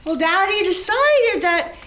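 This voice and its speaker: Woman acting a volatile, high-voiced bitter mother. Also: expressive, a little slow, a bit bright, reverberant, slight background noise, exaggerated voice. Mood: bitter, brittle. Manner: scornful, lashing out. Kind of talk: stage play reading.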